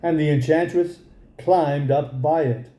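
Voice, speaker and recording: A man narrating a fairy tale as a storybook reader, in an expressive style. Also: on the high side, a little slow, echoey room.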